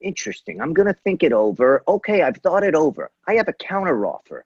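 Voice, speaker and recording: Guy doing a smug snooty royal heir with a fake polish accent, swoopy high voice, dry little room sound. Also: very animated, fast talker, a little mellow, husky, no room sound, highs cut off, full character voice. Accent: put-on Polish. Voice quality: puffed-up, snooty, theatrical. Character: scheming, smug young royal heir William.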